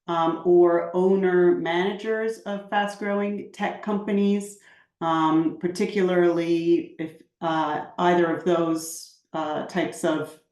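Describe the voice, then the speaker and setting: An American woman with a Washington DC accent speaks in a low voice over a video call. She talks chatty and enthusiastic, with plenty of ums. The room around her echoes noticeably. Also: a little mellow. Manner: chatty, filler-heavy, enthusiastic. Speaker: UK immigration advisor. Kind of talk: webinar self-introduction.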